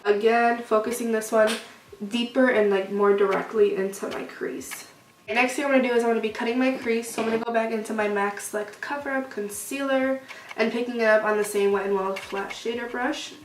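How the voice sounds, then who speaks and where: A woman's voice: fairly high pitch, steady tone, a little fast. Sniffly young woman with a cold. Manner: chatty, loose, many "like" fillers. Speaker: beauty vlogger. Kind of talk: casual makeup tutorial. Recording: roomy echo, distant mic, faint hiss.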